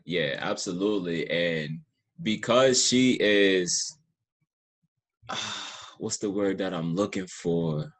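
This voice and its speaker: A man's voice: low voice, slow, fairly bright tone, breathy edge. A man hosting a casual interview, talking informally and searching for words as he shares his thoughts. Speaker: podcast host, interviewer.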